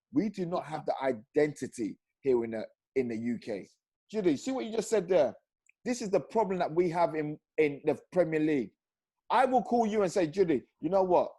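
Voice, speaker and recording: A man, a guest on a video-call panel, talking passionately and rising to loud emphatic peaks. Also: a little high, husky. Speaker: panel guest, former professional footballer.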